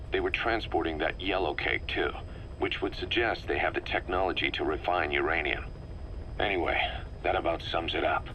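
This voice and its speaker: A middle-aged military intelligence officer in a video game, with a very low, forceful voice. He briefs his commander in a measured, analytical, serious way. There is faint noise on the recording. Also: heavily acted, rough recording. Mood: matter-of-fact. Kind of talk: scripted briefing, game voice acting.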